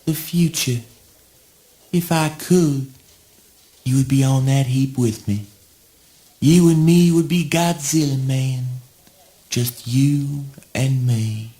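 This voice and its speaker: An actor playing a dim, pleading son, speaking in halting, plaintive phrases in an audio play. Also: male voice, medium pitch, dark tone, natural voice. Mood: wistful, sorry. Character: dim, pleading son devoted to his father. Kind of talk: theatrical spoken word audio play.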